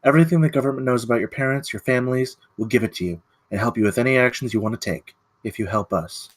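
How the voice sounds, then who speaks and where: A man reading a measured, secretive government agent. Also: medium pitch, dry recording, lightly acted. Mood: persuasive. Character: Sasha, secretive, persuasive government agent recruiting youngsters. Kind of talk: table read of a screenplay.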